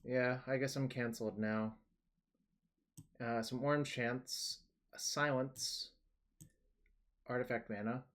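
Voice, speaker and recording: Young male streamer, relaxed, rambling, explains plays as he goes, mid-pitched voice. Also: slow, pauses often. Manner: relaxed, rambling, thinking aloud. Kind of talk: casual card-game stream commentary.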